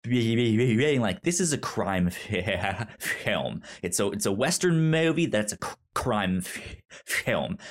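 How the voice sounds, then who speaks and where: A man hosting a podcast in a casual film review chat, with a halting delivery that often repeats and trips over words. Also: lowish voice. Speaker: podcast host.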